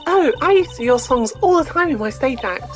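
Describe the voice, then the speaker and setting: A woman's voice: sits high, expressive, a little fast, voice pushed up. A woman voicing a bubbly, cheeky teenage girl stage magician in an amateur video game voice-over, perky and eager. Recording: game music behind the voice.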